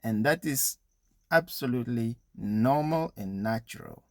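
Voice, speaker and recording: A man's voice: mid-range voice, expressive, unhurried, no echo, clean studio sound. A man giving an informal, educational talk with advice for young people, explaining things conversationally with frequent small asides.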